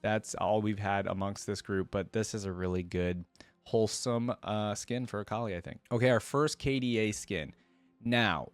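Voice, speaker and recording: A man's voice: fairly low pitch, a little mellow, no room sound. A man hosting a reaction video, chatting casually and enthusiastically in slangy fan talk.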